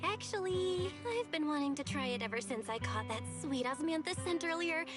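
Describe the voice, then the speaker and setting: A woman's voice: sits high, animated, normal speed. An actress playing a friendly, encouraging young woman in a video game, easygoing and appreciative. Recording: music playing clearly behind the voice.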